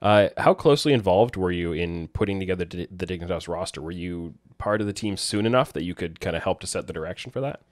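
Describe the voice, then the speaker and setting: A man's voice: sits low, expressive, quick pace, few pauses, no echo, clean studio sound. A man hosting an interview, asking loose, friendly questions and giving short acknowledgements. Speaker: interviewer, host.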